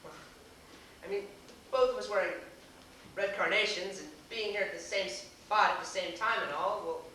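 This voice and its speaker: A woman playing a flustered, indignant young man in an amateur stage comedy, with halting, formal, exasperated speech. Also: medium pitch, sharp tone, lightly acted. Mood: hesitant, awkward. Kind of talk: amateur one-act comedy stage acting. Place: theater stage, recorded from far off. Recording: distant, echoey, noisy stage video.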